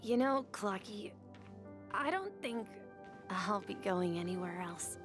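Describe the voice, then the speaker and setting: A woman voicing a gentle, tired young boy at his journey's end, soft and resigned, in a dramatic video game. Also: medium pitch, expressive, slow pace, music in the background.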